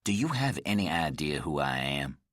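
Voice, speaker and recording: Calm, quiet male visitor, voiced by the narrator, deep and even. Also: moderate pace, no echo, studio quality, heavily acted. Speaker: story character.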